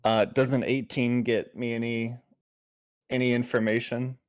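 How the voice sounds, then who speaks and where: A man talks casually as a player in a tabletop game. His delivery is playful and wry, in a medium-pitched voice. The sound is dry and free of room echo. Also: flat delivery, a little slow.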